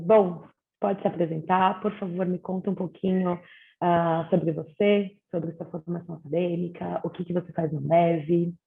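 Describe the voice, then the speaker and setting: Brief: woman host, lowish voice, measured with fillers, phone-like audio. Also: vocal fry. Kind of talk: webinar interview. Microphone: video call. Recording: tinny phone-like video call.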